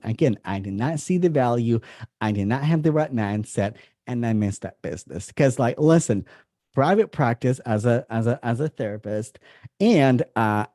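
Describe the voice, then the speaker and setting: A man's voice: medium pitch, warm tone, no echo, studio quality. A man in his thirties from Russia, with a Russian accent, presenting an informal online masterclass as a coach, often repeating and rephrasing his phrases. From Moscow, Russia. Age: 30s. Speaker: business coach hosting a masterclass.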